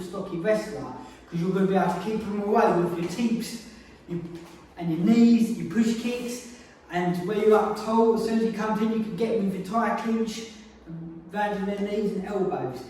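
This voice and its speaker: A man's voice: sits high, darkish tone, a little hiss or hum. A man who is a martial arts instructor, giving a casual spoken lesson on technique and explaining while he demonstrates the moves. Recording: very echoey room, mic far off.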